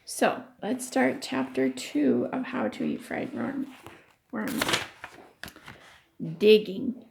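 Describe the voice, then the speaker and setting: A woman's voice: medium pitch, wildly swooping pitch, takes it slowly, vocal fry, darkish tone, a little hiss or hum. A woman teacher reading a story aloud to children, stopping to explain and ask questions. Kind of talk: read-aloud lesson for children.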